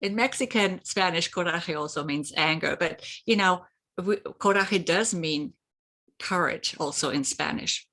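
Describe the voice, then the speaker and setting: Unhurried German-native woman. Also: lowish voice, bright, forceful tone. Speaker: host. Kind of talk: reflective solo talk with guided exercise.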